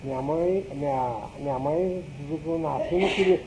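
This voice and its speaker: An older man recalling his family's past in an oral history interview, talking haltingly and often restarting his words. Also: a little high, background noise. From Brazil. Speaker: interviewee, father.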